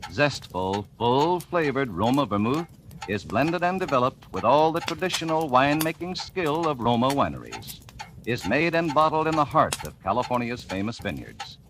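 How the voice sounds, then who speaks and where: Polished old-time radio announcer, man. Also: medium pitch. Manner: smooth polished salesman delivery. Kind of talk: 1940s radio sponsor announcement. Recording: noisy old radio broadcast.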